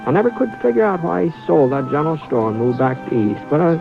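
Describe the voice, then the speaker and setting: An easygoing ranch hand who tells the tale in a vintage radio western. He talks in a gentle, folksy drawl. Music plays clearly behind him on the muffled old recording. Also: fairly high pitch, big pitch swings, fast talker. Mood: reflective narration. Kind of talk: 1950s radio drama narration.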